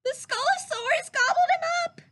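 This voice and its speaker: A woman voicing a small, timid, anxious male toy piglet in a storybook, squeaky and plaintive. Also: normal speed, sharp tone. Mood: sad, frightened.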